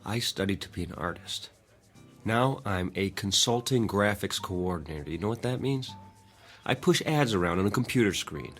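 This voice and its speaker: A man's voice: lowish voice, animated, moderate pace, soft background music, natural voice. An actor playing a harried young working man in an audio drama, wry, weary and plainspoken, doubtful of what he hears. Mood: weary, puzzled.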